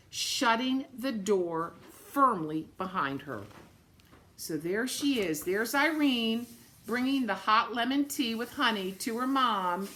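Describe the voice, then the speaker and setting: A woman reading a picture book aloud to young children, with gentle, simple explanatory asides. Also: fairly high pitch.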